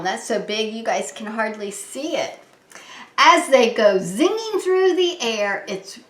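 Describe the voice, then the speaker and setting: A woman preschool teacher reading a children's story aloud in an animated, sing-song manner, talking to small children. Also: mid-range voice. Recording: echoey room.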